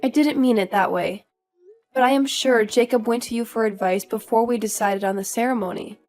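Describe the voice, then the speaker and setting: A woman's voice: a little high, brisk, darkish tone, faint music behind, lightly acted. A woman playing an earnest young daughter-in-law who is polite but firm, in a scripted audio story. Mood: defensive. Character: earnest, indignant young daughter-in-law standing her ground.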